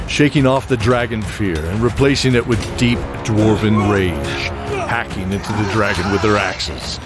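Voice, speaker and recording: Low-voiced man narrating fantasy over music. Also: moderate pace. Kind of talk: dramatic scripted fantasy narration.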